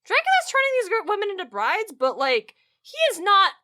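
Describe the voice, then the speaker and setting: A woman co-hosting a podcast in a casual comedic discussion, animated and opinionated. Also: extremely high pitch, brisk. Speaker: podcast co-host.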